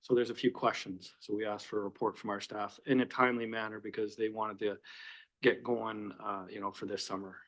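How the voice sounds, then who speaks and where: Flat-voiced man councillor. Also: on the low side, brisk, low-quality recording. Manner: hesitant, with many fillers. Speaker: city councillor. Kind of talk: council report answer.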